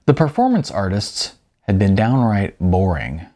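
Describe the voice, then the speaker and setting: Audiobook narrator: man, husky and low, wry conversational delivery with wide-swinging intonation. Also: big pitch swings, unhurried, mellow. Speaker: author narrating own audiobook. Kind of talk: expressive first-person novel narration.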